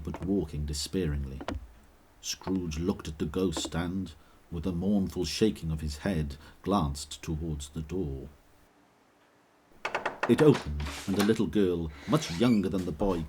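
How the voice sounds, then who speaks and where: A man's voice: deep voice, unhurried, noisy background. A man narrating a Victorian ghost tale as a solemn, dramatic storyteller.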